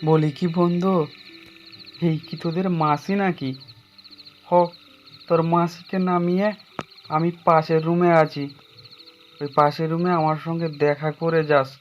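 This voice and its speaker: A man playing an anxious, higher-pitched young horror storyteller. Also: level tone, unhurried, pauses often, dull audio, faint music behind, lightly acted. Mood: secretive, urgent. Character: young man haunted by his guilty past, anxious storyteller. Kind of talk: dramatized first-person horror story narration.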